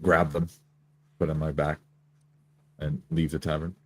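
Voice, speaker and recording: A man's voice: a bass voice, level tone, measured pace, fairly bright tone, breathy edge. A man playing a tabletop game, improvising his character's actions in the first person.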